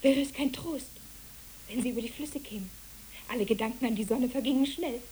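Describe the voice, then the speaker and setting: Schauspielerin als verträumtes Kind, helle Tonlage, weicher dunkler Klang, lyrisch, Hörspiel mit leichtem Rauschen. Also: female voice, on the high side, brisk, darkish tone, natural voice, poor audio. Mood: musing. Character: dreamy, talkative child visiting the pastor. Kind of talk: German literary radio play acting. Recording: hissy old radio play recording.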